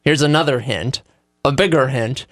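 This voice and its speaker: A man's voice: medium pitch, normal speed, fairly bright tone, no room sound, studio quality. A man co-hosting a casual radio chat, presenting news in a wry, conversational way.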